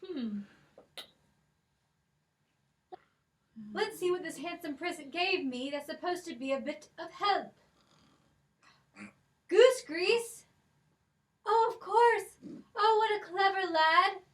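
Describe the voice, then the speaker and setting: A woman's voice: very high voice, animated, unhurried, a bit bright, distant mic, exaggerated voice. A woman playing a sassy brave girl. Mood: hushed. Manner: spirited, sassy. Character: brave clever independent girl. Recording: voice from off the mic.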